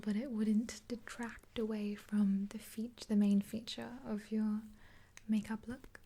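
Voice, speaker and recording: Softly whispering young woman, ASMR. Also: mid-range voice. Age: 20s. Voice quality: breathy, unvoiced whisper. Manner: gentle, unhurried, reassuring. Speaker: ASMR performer playing a makeup helper. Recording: hissy close-up with clicks.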